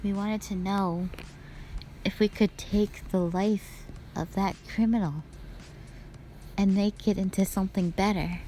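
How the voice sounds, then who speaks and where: A woman playing a wistful, scheming yet playful witch sister in an audio roleplay, speaking softly and reflectively to the listener. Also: medium pitch, fairly even intonation, a little slow, a little hiss or hum, close to an everyday voice, rough recording. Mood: wistful, solemn.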